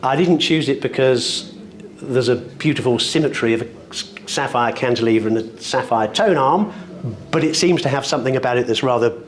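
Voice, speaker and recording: A man's voice: medium pitch, normal speed, a little hiss or hum. British man giving a discursive product demonstration. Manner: articulate, discursive, wryly enthusiastic with florid wording. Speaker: audio dealer presenting products at a hi-fi show. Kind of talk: unscripted product demonstration talk.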